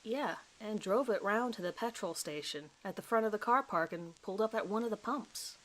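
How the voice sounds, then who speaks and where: A woman's voice: mid-range voice, normal speed, natural voice, rough recording. A woman voicing a nervous man in his thirties, a suspect giving a hesitant, rambling, apologetic account in an audio horror story. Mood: defensive, unsure. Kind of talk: dramatized police interview recording.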